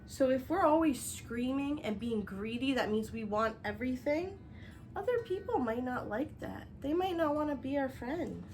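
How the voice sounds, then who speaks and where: A woman reading a picture book aloud as a children's teacher, expressive and instructive, explaining things directly to young viewers. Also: sits high, normal speed. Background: music.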